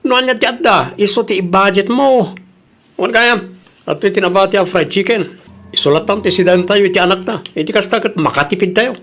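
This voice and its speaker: A man's voice: sits high, brisk, fairly bright tone, faint music behind. Man playing stingy Ilocano husband: complaining, controlling, demanding, audio drama style. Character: stingy, demanding husband Daniel, turning anxious.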